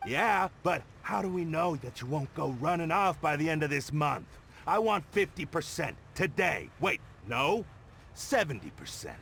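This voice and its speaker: A man playing a pushy, sneering debt collector with a gravelly voice in a video game. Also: fairly high pitch, sing-song, moderate pace, a bit bright, a little hiss or hum, full character voice. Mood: smug, demanding.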